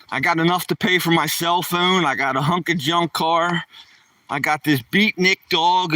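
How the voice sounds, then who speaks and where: A man in his sixties rambles in a folksy, slang-filled way while livestreaming on a walk with his dog. His voice is bright and forceful, sits a touch high for a man, and sounds dry. It sometimes drifts away from the handheld phone mic. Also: no room sound, distant mic. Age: 60s. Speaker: live streamer. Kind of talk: casual live-stream chat while walking his dog. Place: outdoors on a neighborhood walk.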